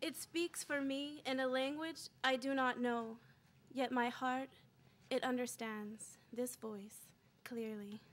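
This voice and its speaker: Slow, higher-pitched woman reading poetry. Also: steady tone, slight background noise. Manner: measured, with dramatic pauses between short phrases. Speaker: poet reading own work. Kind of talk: spoken-word poetry reading. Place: poetry club, live reading.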